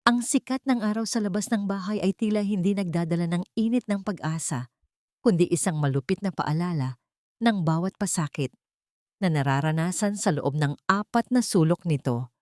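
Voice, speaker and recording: A woman narrates a dramatic audio story. Her voice sits a little low and sounds dry, with no room around it. She delivers the scripted prose in a solemn, ornate storytelling way. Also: normal speed, a little mellow, dry recording.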